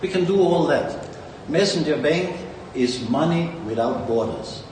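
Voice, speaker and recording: A man's voice: a little high, animated, measured pace, background noise. A man with a Danish accent giving a rambling, boastful conference speech as a company founder. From Denmark. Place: large conference hall. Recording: distant mic in an echoey hall with faint ambience.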